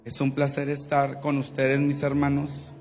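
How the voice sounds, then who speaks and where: A man's voice: medium pitch, completely flat delivery, measured pace, a little mellow, faint music behind. A man in his twenties preaching in Spanish, leading the congregation and praying fervently with repeated invocations. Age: 20s. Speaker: guest preacher. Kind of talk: evangelical sermon and prayer.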